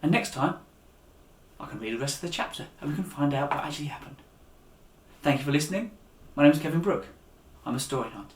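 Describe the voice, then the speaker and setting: A man reading a story aloud for young listeners as an author and storyteller, in an animated, direct way. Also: a little high, fast, pauses often, a little hiss or hum. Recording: echoey room.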